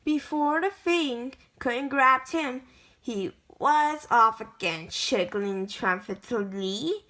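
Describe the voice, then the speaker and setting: A woman reading a children's picture book aloud in a halting way, stumbling over words as she goes. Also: fairly high pitch, sharp tone. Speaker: amateur story reader.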